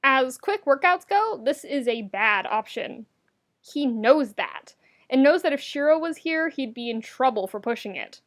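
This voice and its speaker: A woman with a fairly high voice reads fiction aloud as an audiobook narrator. Her delivery is wry and emphatic, leaning on words for effect. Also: lively intonation, unhurried. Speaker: podfic reader.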